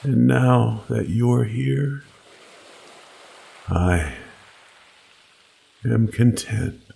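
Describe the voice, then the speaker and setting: A man playing an entranced, adoring male castaway in a creepy story, speaking haltingly and reverently. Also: medium pitch, creaky, dark tone, breathy edge, pitched above the performer's own voice, heavily acted. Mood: adoring, content. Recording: faint background noise.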